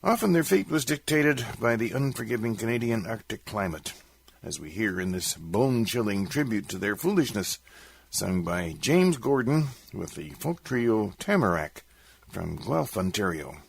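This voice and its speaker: A man presenting on radio, reading scripted narration in a gravelly, husky voice. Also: on the low side, big pitch swings, moderate pace. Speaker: radio host presenting folk music.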